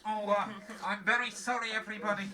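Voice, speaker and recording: A man's voice: sits high, level tone, fast talker, a crowd in the background, close to an everyday voice. A man playing a flustered ghost tour guide in a comic audio drama. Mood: alarmed, apologetic.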